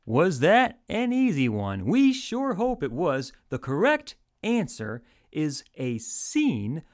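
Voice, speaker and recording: Male radio host, fairly high voice, very animated intonation, old-time showman announcing, speaks straight to listeners. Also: slow. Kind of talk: old-time radio game show hosting.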